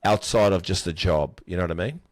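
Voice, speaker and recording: Casting: low-voiced male host, encouraging, dry close sound. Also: no room sound. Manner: encouraging, many quick yeahs. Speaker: podcast host. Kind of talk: casual interview chat.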